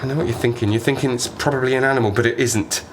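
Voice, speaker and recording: An actor playing a stranded Arctic survivor in an audio play, a sardonic, haunted man who talks haltingly and rambles in agitation. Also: medium pitch, fairly even intonation, close to an everyday voice. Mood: uneasy, haunted. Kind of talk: dramatic audio play monologue. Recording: noisy ambience behind the voice.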